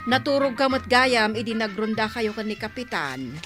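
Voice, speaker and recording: A woman with a Filipino accent playing a fretful, doubting villager in a radio drama, expressive and argumentative. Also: a little high, moderate pace, over loud music, natural voice.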